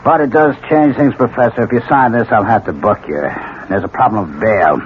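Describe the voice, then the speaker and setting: An actor playing a plain, no-nonsense middle-aged police lieutenant, brusque and businesslike, in a 1970s radio drama. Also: male voice, on the low side, brisk, natural voice. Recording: dull, noisy old radio broadcast.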